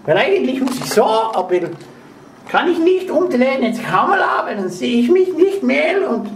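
A young man playing a silly foreign tour guide in halting, mock-formal broken German, over-explaining everything to the camera. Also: very high voice, sing-song, pitched above the performer's own voice, heavily acted. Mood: mock-earnest. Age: young adult. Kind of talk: comic room tour to camera. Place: small tiled hotel bathroom. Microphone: handheld camcorder. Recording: echoey tiled bathroom, noisy camcorder.

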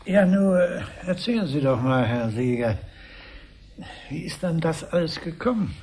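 A man's voice: on the high side, animated, darkish tone. A man playing a polite, hesitant, deferential husband paying a visit in a 1970s German radio play. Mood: hesitant, probing. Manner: deferential, halting. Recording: slightly hissy 1970s radio recording.

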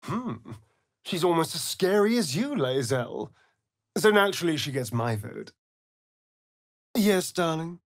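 A sardonic male vampire spawn for a fantasy video game. The delivery is arch and flirtatious, swooping widely in pitch and lingering slowly over words with mocking theatricality. The sound is close and dry. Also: big pitch swings, no echo. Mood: brisk, teasing. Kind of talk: video game character voice lines.

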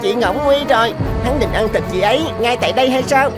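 Woman playing a high-voiced tiny cartoon boy. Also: fast talker, loud music behind the voice, natural voice. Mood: frightened, anxious. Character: tiny mischievous young boy, anxious but plucky. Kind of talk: children's cartoon voice acting.

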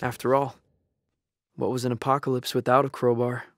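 Young male survivor played by a man, reflective first-person audio drama narrator, dry and wry, level pitch. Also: flat delivery, brisk, natural voice. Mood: wry, measured.

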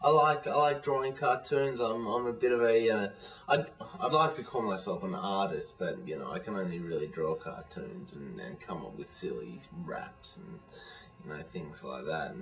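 Young Australian guy, lowish voice, chatty and rambling, recorded on a webcam set a bit back in a living room. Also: moderate pace, distant mic, over music. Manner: rambling, frank, many fillers and restarts. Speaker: vlogger. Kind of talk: casual webcam video reply. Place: living room at home, indoors. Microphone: webcam at desk distance.

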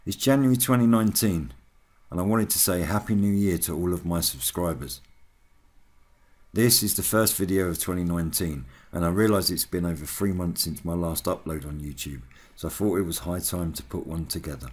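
A man's voice: deep voice, fairly quick, a little mellow. A British man hosting a hobby video channel, giving a scripted voice-over update to his viewers.

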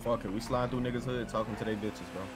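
A man's voice: mid-range voice, steady tone, fast talker, over loud music. A man chatting casually with other players in a game, swearing often and using heavy slang. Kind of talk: casual chat. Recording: loud car noise behind voice.

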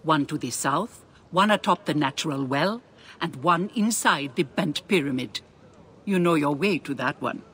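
Game role: grave healer and loyal ally, man, solemn and caring, slightly raised pitch, crisp bright tone, formal. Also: lively intonation, normal speed, a little hiss or hum. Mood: solemn, concerned. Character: solemn, concerned male healer and devout ally. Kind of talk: dramatic game cutscene dialogue.

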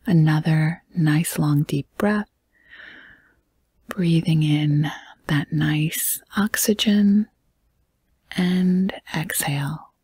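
A woman with a very breathy, almost whispered voice leads a guided meditation. Her pitch is low and her delivery is slow and gentle, with calm pauses between short phrases. The sound is close and dry. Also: dry recording.

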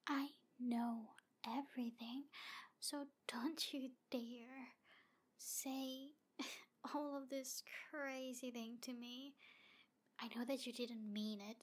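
A woman voicing a jealous, lovesick female demon in an ASMR roleplay, halting and breathy, often slipping toward a whisper. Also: high-pitched, fairly even intonation. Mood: jealous, menacing. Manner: breathy, halting delivery with whispered phrases and giggles. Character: jealous, obsessive female demon in love, taunting and wounded. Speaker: audio roleplay performer.

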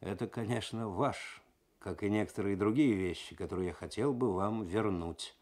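A man voicing a sly, cool-headed swindler in a radio story, speaking quietly and smoothly with an insinuating manner. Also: fairly low pitch, normal speed, pitched below the performer's own voice, natural voice. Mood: quiet, assured.

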